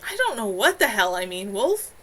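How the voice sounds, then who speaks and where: A woman's voice: medium pitch, sing-song, normal speed, fairly bright tone. A woman voicing a cold, bitter, upset man in a fantasy novel reading. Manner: curt, cold, pointed. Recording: faintly noisy home livestream recording.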